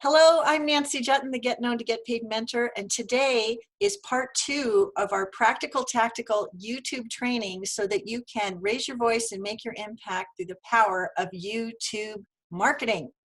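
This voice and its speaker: A woman's voice: a little high, moderate pace, hardly any pauses, fairly bright tone, poor audio. Upbeat, effusive woman host. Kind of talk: live webinar hosting.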